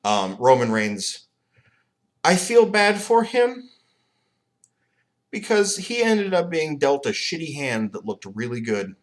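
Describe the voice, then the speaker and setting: A man co-hosting a podcast in casual chat, animated and profane, laughing often. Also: fairly high pitch, takes it slowly, pauses often. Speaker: podcast co-host.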